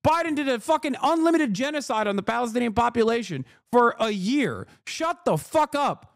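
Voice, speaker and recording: A man's voice: very high-pitched, expressive, moderate pace, creaky, dry recording, clean studio sound. A man in his thirties, a streamer, ranting in heated, profane reaction commentary and laughing as he talks. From Turkey. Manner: laughs through his lines.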